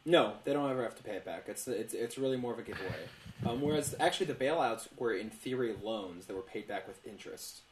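A man's voice: medium pitch, lively intonation, quick pace, slight background noise. An American man co-hosting a podcast, explaining his take on politics in an earnest, casual way. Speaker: podcast co-host. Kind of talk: casual political panel discussion. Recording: echoey, roomy sound.

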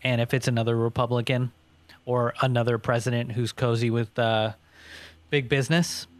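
An American man co-hosting a podcast, laying out political points in a measured, explanatory, conversational way. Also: medium pitch, level tone, no echo. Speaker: podcast co-host. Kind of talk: casual political panel discussion.

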